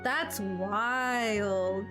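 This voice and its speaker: A woman's voice: mid-range voice, drawn-out words. A woman casually reacting to a video game as she plays through it. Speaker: streamer reacting to a game and voicing its cutscenes. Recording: music behind the voice. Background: game music.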